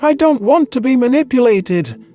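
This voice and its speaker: A man's voice: extremely high pitch, expressive, mellow, faint music behind, voice pushed up. A man voicing a frightened, distrustful male alien, a former exterminator, who stammers and stumbles over his words. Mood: pleading. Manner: stammering, halting. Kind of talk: audiobook character voice.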